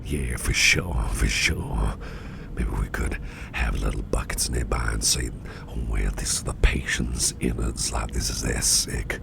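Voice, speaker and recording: A man playing an attentive, easygoing businessman boss in a soft, breathy, whispery ASMR roleplay, unhurried and soothing, murmuring close to the microphone. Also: noisy background, exaggerated voice. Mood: relaxed, musing. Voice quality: very low, gravelly, breathy near-whisper. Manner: unhurried, soothing, indulgent, musing aloud.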